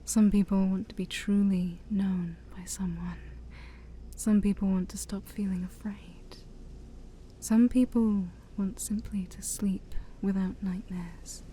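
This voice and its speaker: Demon princess, acted by a woman: very dark, mellow tone, unhurried and half-whispered, haughty but secretly shy, ASMR roleplay over background noise. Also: medium pitch, fairly even intonation, lightly acted. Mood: coaxing. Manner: formal, teasing, hesitant, sighing.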